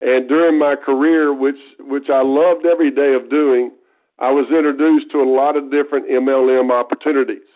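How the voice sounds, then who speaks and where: A man's voice: mid-range voice, steady tone, normal speed. Earnest East Texas man on a phone line. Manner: folksy, earnest, restarts phrases. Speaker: guest speaker. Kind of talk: conference call talk. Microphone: telephone.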